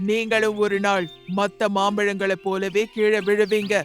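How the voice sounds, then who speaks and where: A high, level-toned holy pigeon. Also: male voice, monotone, a little slow, a bit bright, faint music behind. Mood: angry, cursing. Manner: solemn, reproving. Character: stern saintly meditating pigeon in a cartoon fable.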